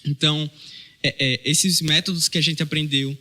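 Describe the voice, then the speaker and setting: A man with a Brazilian Portuguese accent, a mission trainee talking earnestly on a podcast, often pausing and restarting his sentences. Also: on the high side, steady tone, sharp tone. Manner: earnest, hesitant with many fillers and restarts. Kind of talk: casual podcast chat.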